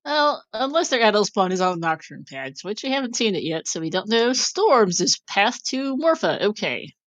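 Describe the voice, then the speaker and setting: A woman doing casual live commentary on a game tournament, chatty and quick to laugh as she reads out clues. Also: medium pitch, big pitch swings, fairly bright tone, no room sound.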